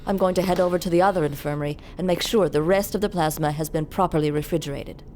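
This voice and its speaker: A woman's voice: sits low, fast talker, dark tone, a little hiss or hum, natural voice. An actress playing a cold, clinical woman doctor who researches viruses, in a video game cutscene.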